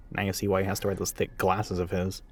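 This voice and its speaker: A man's voice: deep voice, completely flat delivery, a little fast, breathy edge. A man acting out a catty, mischievous retail worker in an audio roleplay, with a sassy, teasing, theatrical delivery.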